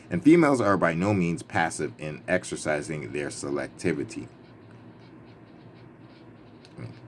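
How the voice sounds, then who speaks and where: Very deep-voiced man reading aloud playfully. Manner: emphatic, playful, breaks off for wry asides. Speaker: reader and commentator. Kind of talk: book reading with casual ad-lib asides. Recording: clearly noisy background ambience.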